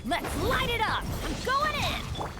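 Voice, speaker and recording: A woman voicing a spirited fighter calling out in a video game, under loud music and battle effects. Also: very high-pitched, very animated, takes it slowly, exaggerated voice. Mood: spirited, urgent. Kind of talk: video game combat barks.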